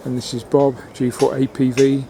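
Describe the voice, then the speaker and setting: A man giving a halting, matter-of-fact commentary on a field experiment, recorded outdoors in a garden. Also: medium pitch, monotone, dark tone. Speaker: amateur radio experimenter. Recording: outdoor wind and ambient noise.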